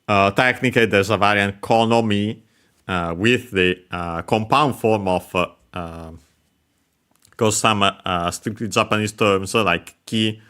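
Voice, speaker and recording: A man explaining Japanese grammar, pausing often with filler sounds as he works through each word. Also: on the low side, bright, forceful tone, smooth. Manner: hesitant, many filler sounds, careful explanation. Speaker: Japanese language teacher. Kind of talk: explaining grammar over gameplay.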